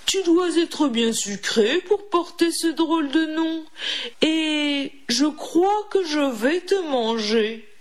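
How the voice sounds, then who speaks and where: A woman's voice: high-pitched, expressive, slow pace, pitched above the performer's own voice. Woman acting a hungry, menacing ogre wolf. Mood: menacing, greedy. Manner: gruff, threatening, impatient. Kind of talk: children's storybook character voice.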